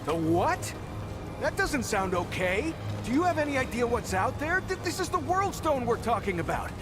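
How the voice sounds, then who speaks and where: Very high-voiced, feisty youngster. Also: male voice, very animated, normal speed, music in the background. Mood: alarmed, urgent. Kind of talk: animated video game voice acting.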